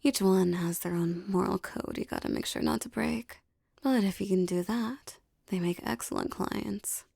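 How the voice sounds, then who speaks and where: Casting: woman to play tough mafia girlfriend for ASMR roleplay, soft and teasing, mellow low-lit tone, very dry close sound. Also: moderate pace, creaky, no room sound. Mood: intimate, serious. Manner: intimate, teasing, streetwise tough talk. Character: tough young female mafia boss, affectionate with her lover.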